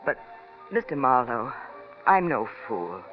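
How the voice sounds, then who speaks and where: A woman's voice: rumbling low, sing-song, slow pace, heavily acted. A woman acting a proud, emotional wealthy lady in a 1940s-style radio mystery, earnest and insistent. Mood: wounded, hesitant. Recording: dull vintage radio recording, faint music.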